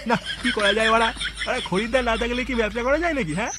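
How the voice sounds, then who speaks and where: A man's voice: very high voice, very fast, very noisy. A man voicing a poor, luckless street tea seller in an adult comedy cartoon, whiny and repetitive. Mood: grumbling.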